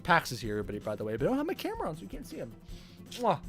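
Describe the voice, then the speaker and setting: A woman's voice: low-pitched, wildly swooping pitch, rapid-fire, soft background music. A woman streaming a visual novel, reading it aloud and chattily commenting on the story. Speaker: game streamer.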